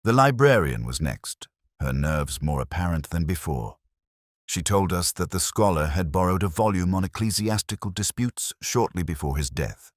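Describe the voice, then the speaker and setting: Brooding detective narrator played by a man: very deep, expressive intonation, measured and reflective, close dry sound, audio mystery drama. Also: dry recording. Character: brooding, observant male police detective narrating his case. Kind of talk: scripted first-person mystery narration.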